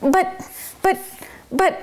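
Woman voicing a fussy squeaky male mouse. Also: big pitch swings. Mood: flustered. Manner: bossy, quick to fluster, squeaky. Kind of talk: children's book read-aloud.

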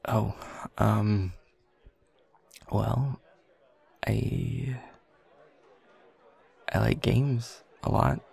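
A man acts out a shy young coworker in an intimate audio roleplay. His voice is low, very breathy and heavily creaky. He talks slowly and hesitantly, flustered and quick to put himself down. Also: steady tone, darkish tone, some chatter behind, full character voice. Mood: bashful, awkward. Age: young adult. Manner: hesitant, flustered, stammering, nervous chuckles. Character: shy, self-deprecating young man, new office coworker with a crush. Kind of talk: intimate audio roleplay, one side of a conversation.

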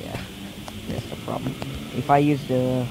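A teenage boy talks his way through a mobile game in a casual, rambling way, with a mid-range voice. His voice sounds weak and a little worn, as if he is still getting over being sick. Loud hiss and noise sit under the recording. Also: mid-range voice, flat delivery, unhurried. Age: teens, fourteen. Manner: rambling, chatty, many restarts. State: weak voice from recent illness. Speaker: gameplay commentator. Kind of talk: casual let's-play commentary.

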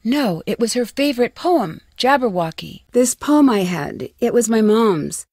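A woman voicing a young amateur detective in a video game, inquisitive and determined, with a clear, earnest, questioning delivery. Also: on the high side, expressive, measured pace, darkish tone, no echo, clean studio sound. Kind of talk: scripted game dialogue, isolated lines.

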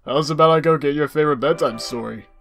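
An actor voicing a weary, gentle, evasive skeleton older brother with hesitant, subdued speech in a fan comic dub. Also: mid-range voice, fast, lightly acted. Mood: tired, evasive.

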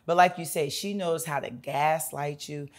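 A raspy-voiced woman in her forties, a talk-show guest, talking bluntly and animatedly in a studio interview. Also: low-pitched, moderate pace. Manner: animated, blunt gossip with bursts of laughter. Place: bright studio set with city view.

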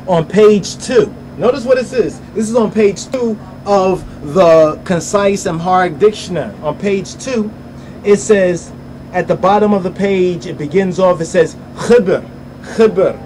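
A man with a mellow, somewhat high voice leads a casual bible study lesson. He sounds out words slowly, repeats them several times, and keeps asking whether the listener understands. There is a faint hum behind him. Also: low-quality recording. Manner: keeps asking "you understand?" after each point. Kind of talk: informal religious language lesson. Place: voice-over on slides.

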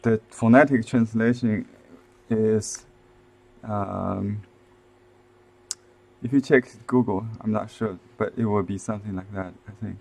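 A man in his fifties with a Chinese accent, explaining calligraphy on a live stream from a desk webcam, softly and with many fillers and restarts. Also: lowish voice, fairly even intonation, a little slow, creaky, pauses often, rough recording. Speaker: calligraphy and seal-carving teacher. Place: indoor room with shelves, at a desk.

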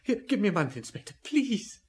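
An actor playing a nervous, pleading businessman in a radio drama, speaking haltingly. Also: high-pitched, sing-song. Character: frightened, pleading businessman offering a bribe. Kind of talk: old radio drama acting.

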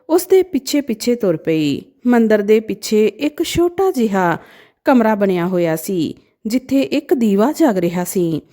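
A woman tells a sentimental moral tale as a narrator. Her voice is mellow and somewhat high, with very lively intonation and a gentle, emotive delivery. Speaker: storyteller. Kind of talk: scripted narration of a sad moral story.

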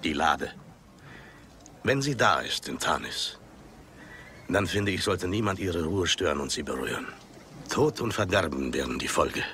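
Deep, gravelly, hearty Egyptian digger. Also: male voice, animated, a little slow, long pauses, bright, forceful tone, slight background noise, full character voice. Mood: ominous. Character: hearty, wary Egyptian master digger, loyal friend. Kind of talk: German film dub acting.

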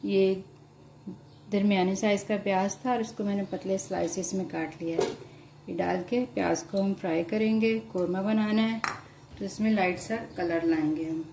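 Calm woman explaining a home recipe. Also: medium pitch, normal speed, background noise. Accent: Urdu-influenced Hindustani. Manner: calm, explanatory, addresses viewers directly. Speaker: home cooking channel host. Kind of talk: step-by-step cooking instructions. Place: home kitchen at the stove.

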